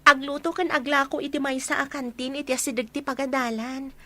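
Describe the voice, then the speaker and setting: Woman as teasing little-sister girl, high voice, chatty, radio drama dialogue. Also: steady tone, fairly quick, pushed and bright. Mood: playful, curious.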